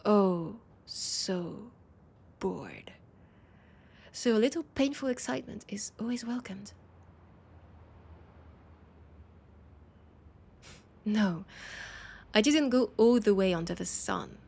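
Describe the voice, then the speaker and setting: A woman with a French accent playing an ancient, proud and wistful vampire veteran in an intimate audio roleplay, with a husky, breathy voice and lingering pauses. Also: mid-range voice, animated, a little mellow, full character voice. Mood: wistful, yearning. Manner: slow, sighing, lingering pauses.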